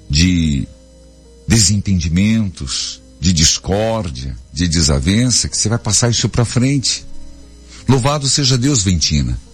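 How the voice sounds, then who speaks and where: Man, Brazilian accent, radio priest, raspy voice, lively intonation, fatherly scolding, exhorting, soft music behind. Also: mid-range voice. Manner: emphatic, colloquial, fatherly scolding with rhetorical questions. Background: faint music.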